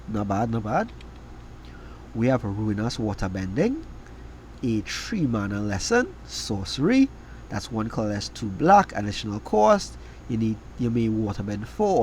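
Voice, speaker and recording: A man with a cold and a raspy voice, reading out trading cards and giving quick verdicts on each. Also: medium pitch, darkish tone, a little hiss or hum. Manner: reads card text then reacts with quick verdicts. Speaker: card game video host.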